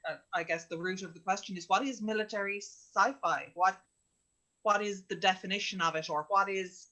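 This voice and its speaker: A woman with a mid-pitched voice moderates a convention panel. She is friendly and a little hesitant as she introduces the speakers. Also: normal speed, sharp tone.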